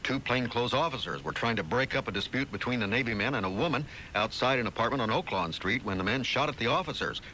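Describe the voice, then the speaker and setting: Bright, crisp-edged male radio newsreader. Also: medium pitch, expressive, fast, hardly any pauses. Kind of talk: scripted news bulletin. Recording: slightly noisy old AM radio aircheck.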